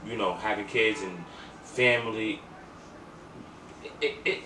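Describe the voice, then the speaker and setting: A man's voice: on the low side, slow. A man co-hosting a podcast, chatting and telling stories in an emphatic, slangy way with repeated phrases. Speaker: podcast co-host. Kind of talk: casual chat and storytelling. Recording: echoey room, distant mic, clear noise.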